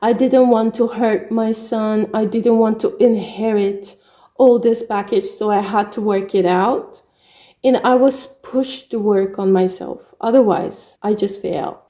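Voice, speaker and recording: A woman's voice: mid-range voice, dark tone. A middle-aged woman with a Hungarian accent, telling her own story as a spiritual coach in earnest short phrases. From Romania. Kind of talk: personal story monologue.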